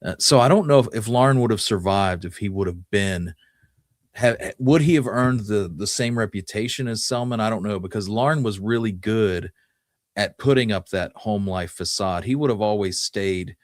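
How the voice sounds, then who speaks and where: A man co-hosting a casual history discussion, thinking aloud in a halting way as he goes. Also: lowish voice, no room sound, studio quality. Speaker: podcast co-host.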